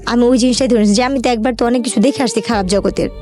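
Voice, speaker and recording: A woman's voice: a little high, steady tone, racing, warm tone, faint music behind. A young woman with a Bangladeshi accent tells her life story in an interview, colloquially, in run-on sentences with quoted conversations.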